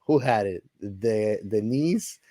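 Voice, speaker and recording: A man's voice: fairly low pitch, expressive, slow pace, dark tone, no room sound. A man co-hosting a podcast, chatting casually and bluntly about movies in slangy, informal language. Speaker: podcast co-host.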